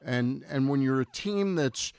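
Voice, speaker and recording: A man co-hosting a sports talk show, chatting casually in a halting way as he reaches for his words. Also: medium pitch, lively intonation, a little mellow, smooth, no room sound. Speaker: sports radio co-host. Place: radio studio.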